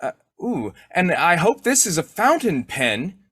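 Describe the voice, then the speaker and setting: A man's voice: high voice, big pitch swings, measured pace, a bit bright, husky, full character voice. A man playing a sly, childlike, blustering husband in old-time radio comedy style, muttering and stammering. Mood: flustered, scheming. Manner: muttering to himself, stammering, exclaiming.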